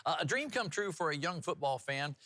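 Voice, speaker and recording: A man's voice: a little high, lively intonation, fairly quick, pushed and bright, no room sound. A man working as a TV news anchor, mixing broadcast news delivery with casual, jokey banter. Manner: jokey, punning.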